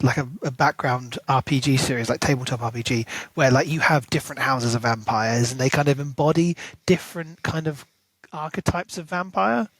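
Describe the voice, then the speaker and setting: A man's voice: a little high, a bit bright, dry recording, poor audio. A man co-hosting a book podcast, chatting casually and enthusiastically, with plenty of ums and restarts. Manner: chatty, filler-heavy, enthusiastic. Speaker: podcast co-host.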